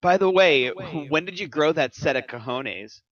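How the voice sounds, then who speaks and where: A man's voice: fairly high pitch, expressive, moderate pace, a bit bright, muffled recording. A man acting a reluctant veteran cop near retirement, complaining and exasperated in a screenplay table read.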